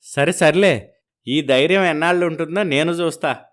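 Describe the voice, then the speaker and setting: A man's voice: a little high, normal speed, natural voice. An actor playing a grumbling, sceptical middle-aged husband and father in a cartoon story, teasing and sardonic.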